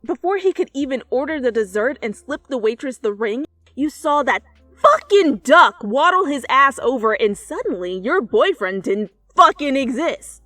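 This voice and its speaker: A woman reading fan fiction as an expressive, dramatic narrator who swears freely. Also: high voice, normal speed, no echo. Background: soft music.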